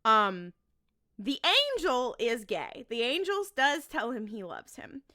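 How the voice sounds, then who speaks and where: A woman's voice: on the high side, very animated, takes it slowly, bright, forceful tone. A woman on a podcast panel chatting casually as a fan making a case, emphatic and repeating phrases for effect.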